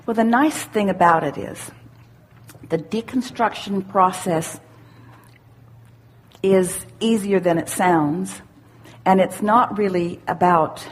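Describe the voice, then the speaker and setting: Deliberate, assured woman teaching, slightly husky. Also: fairly low pitch. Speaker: speaker leading a question-and-answer workshop. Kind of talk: spiritual self-help teaching to a questioner.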